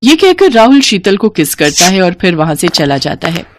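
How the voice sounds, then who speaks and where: A woman's voice: fairly low pitch, big pitch swings, normal speed, slight background noise. A woman reading scripted narration as the storyteller of a dramatized tale.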